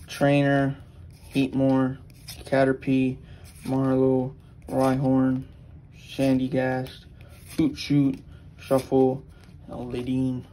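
A man's voice: mid-range voice, monotone, dark tone, a little hiss or hum, low-quality recording. Young man rattling off card names. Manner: rattles off card names in a quick list, eager. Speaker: channel co-host. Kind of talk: casual card-opening chat.

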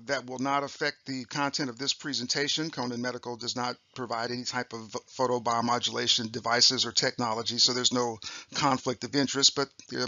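A raspy older man lectures over slides in a loose, friendly way, stumbling into restarts and clearing his throat. His tone is very bright and pushed forward. The sound is dry and a little dull, close to the mic. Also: mid-range voice, no echo. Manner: chatty, upbeat, frequent fillers and restarts. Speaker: optometrist lecturer. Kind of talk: recorded continuing-education lecture.

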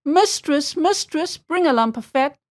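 A meek father blackbird from a children's folktale, acted by a woman reader. The voice is timid and eager to comply, fairly high and full of rise and fall. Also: animated, measured pace. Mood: singsong calling. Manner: timid, compliant, brief answers.

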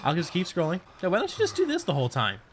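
Excitable higher-voiced man swearing through a game. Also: rapid-fire, slight background noise. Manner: profane, excitable, talks to the game character. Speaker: gaming video host. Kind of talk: casual game playthrough commentary. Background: game audio of boat and water.

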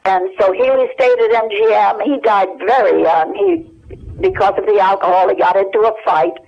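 An older woman telling anecdotes as an interview guest, in a halting, conversational way over a telephone. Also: mid-range voice. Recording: dull telephone line.

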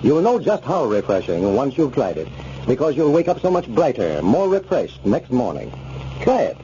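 A man's voice: mid-range voice, big pitch swings, moderate pace, dark tone. A man announcing on vintage radio, delivering a sponsor message in a polished, persuasive manner. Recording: muffled, noisy vintage radio recording.

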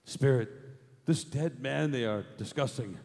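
A man playing a fearful old miser in a staged radio drama, anxious, halting and imploring. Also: mid-range voice, creaky, darkish tone. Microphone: stage mic on stand. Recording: reverberant theatre hall.

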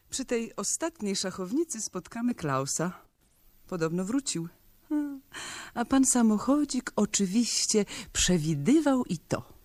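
A woman's voice: mid-range voice, darkish tone, no echo. An actress playing a spirited, teasing young woman in a 1970s radio play, with a pointed and slightly ironic delivery. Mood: knowing, ironic.

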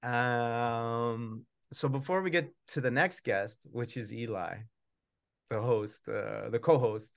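A man's voice: mid-range voice, slow, gravelly. A middle-aged man, hosting an online event with casual, joking chat. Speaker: children's author and puppeteer hosting his book launch. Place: room at home, on a video call.

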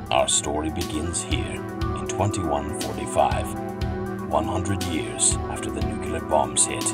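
Very deep male narrator over loud music. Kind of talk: dramatic scripted storytelling narration.

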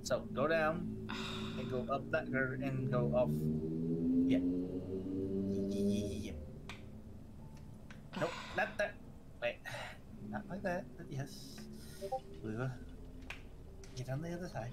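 A man's voice: mid-range voice, expressive, slow, long pauses, very noisy. A man joining his wife's game session, teasing her and giving directions. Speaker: co-commentator, husband. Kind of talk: casual gameplay chat.

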